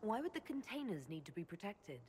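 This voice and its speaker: A woman's voice: very animated, a little fast, a little mellow, close to an everyday voice. A woman playing an inquisitive, businesslike leader of an adventuring party in a video game, composed and probing. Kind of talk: video game dialogue acting. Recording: long cavernous reverb, faint rumbling noise.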